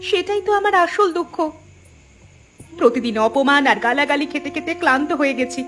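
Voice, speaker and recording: A woman's voice: high-pitched, expressive, a little fast. A woman voicing a determined, fed-up village daughter-in-law in a cartoon, speaking in an earnest, resolute way. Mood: weary resolve. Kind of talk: cartoon voice acting.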